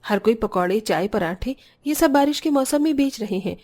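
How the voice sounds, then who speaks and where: A woman playing a poor, hardworking young widowed mother in a cartoon story, earnest and expressive. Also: a little high, moderate pace. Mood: caring, hopeful.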